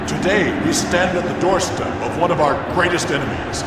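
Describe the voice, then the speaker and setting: A man's voice: fairly quick, natural voice. An actor playing a resolute noble duke, delivering a stirring, declamatory military oration to his army. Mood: rousing. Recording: booming echoey hall, loud background noise.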